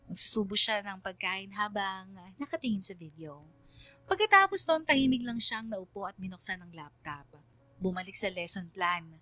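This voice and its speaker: A woman playing a reflective, empathetic student who narrates a radio drama in a measured, solemn way. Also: medium pitch, expressive, bright, forceful tone, faint music behind. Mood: sombre, moved. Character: reflective student narrator, quietly empathetic toward her teacher. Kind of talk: scripted first-person radio drama narration.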